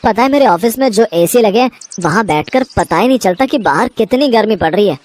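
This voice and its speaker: A woman's voice: medium pitch, expressive, a little fast, darkish tone, close to an everyday voice. A woman voicing a frustrated 25-year-old son in a cartoon story, pleading and exasperated. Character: young man of 25, frustrated son.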